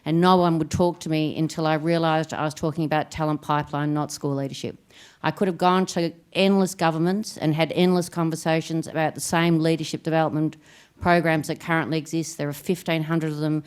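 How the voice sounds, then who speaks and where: A woman, a funder speaking up at a panel discussion, thoughtful and halting with many fillers. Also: sits low, steady tone, clean tone. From Australia. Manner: thoughtful, hesitant with many ums. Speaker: foundation funder in panel audience.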